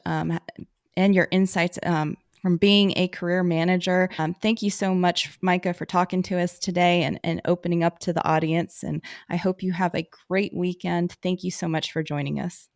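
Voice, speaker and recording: A woman's voice: on the low side, steady tone, moderate pace, dry recording, clean studio sound. A woman hosting an interview in a friendly, upbeat casual chat. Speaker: podcast host.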